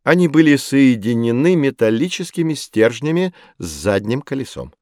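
A man plays a knowledgeable teacher guiding children in an educational audio drama. His delivery is highly varied in melody and patiently didactic, at a middling pitch, in a very dry recording. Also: moderate pace, dry recording, close to an everyday voice. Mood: patient, explaining. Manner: didactic, expressive storytelling.

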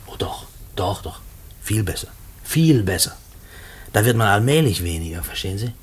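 An actor in a German radio play playing a gruff, despairing convict who speaks colloquially and haltingly. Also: male voice, fairly low pitch, lively intonation. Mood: despairing, agitated. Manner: colloquial, halting, repeating himself when agitated. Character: gruff, despairing convict writing letters to his mother.

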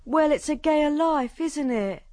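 A woman's voice: high voice, expressive, unhurried, mellow, dry recording. A woman playing a simple, defensive young housemaid in a radio drama. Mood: chatty.

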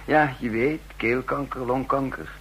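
A man's voice: mid-range voice, steady tone, a little slow, fairly bright tone, breathy edge, low-quality recording. An actor playing a shrewd male counterintelligence chief who also narrates the radio drama, speaking with dry, self-assured irony. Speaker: narrator and lead character. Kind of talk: vintage radio drama acting.

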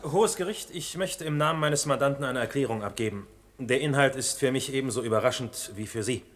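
An actor playing a formal, measured defence lawyer in a German radio play, addressing the court in a declaratory manner. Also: male voice, mid-range voice, close to an everyday voice. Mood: formal, surprised.

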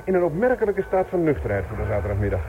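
Jaunty villager, quick-talking. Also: male voice, a little high, very animated, narrow, tinny audio, soft background music. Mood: jaunty, hurried. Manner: breezy, chummy, teasing. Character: jaunty, chummy male village acquaintance. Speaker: actor in a Dutch radio play. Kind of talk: radio drama acting. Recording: noisy old radio drama recording.

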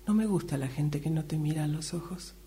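A woman's voice: sits low, a little fast, dark tone, slightly rough. A middle-aged woman with an Argentine accent chatting casually face to face in a workshop exercise. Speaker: radio drama workshop participant. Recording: humming, noisy amateur recording.

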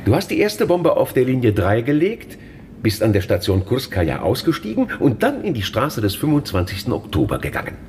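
A man's voice: medium pitch, huge pitch swings, a little fast, a little mellow. A man playing a brutal, menacing police interrogator in a radio drama, smug, taunting and mock-courteous. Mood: accusing. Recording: faint noise and ambience behind.

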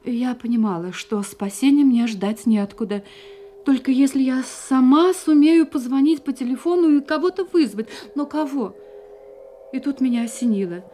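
An actress playing a frightened wife in a Russian audio drama, speaking in an agitated, halting, dramatic way. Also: female voice, sits high, warm tone, a little hiss or hum, natural voice. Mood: tense, distressed. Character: frightened young wife recounting her escape from a murderous husband.

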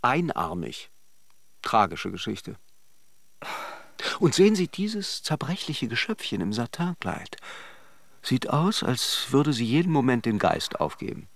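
Chatty, creaky-voiced holidaymaking charmer. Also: male voice, mid-range voice, sing-song, a little slow, pauses often, no room sound, exaggerated voice. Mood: conspiratorial gossip. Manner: playful, gossipy, teasing self-deprecation. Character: chatty, flirtatious male banker from Moscow on holiday. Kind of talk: literary radio drama acting and narration.

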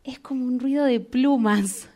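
A woman's voice: high-pitched, a little slow, dark tone. A woman reading an uneasy tramp musing in an amateur radio play. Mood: hushed, uneasy. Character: uneasy tramp listening to murmuring dead voices.

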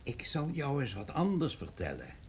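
Husky, needling male investigator. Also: medium pitch, huge pitch swings, moderate pace, heavily acted. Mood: insinuating, stern. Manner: insinuating, interrogating. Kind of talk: radio drama acting.

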